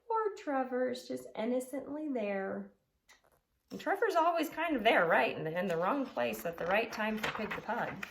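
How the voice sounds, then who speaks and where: A woman's voice: a little high, big pitch swings, normal speed, vocal fry. A woman elementary teacher explaining simply to children and reading aloud, with emphasis and rhetorical questions. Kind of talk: children's lesson and expressive storybook read-aloud.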